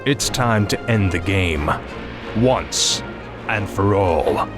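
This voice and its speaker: A ruthless young death knight from a fantasy game. His voice sits low, with grim, resolute theatrical delivery. Music plays clearly behind him. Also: lively intonation, slow pace. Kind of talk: fantasy video game acting.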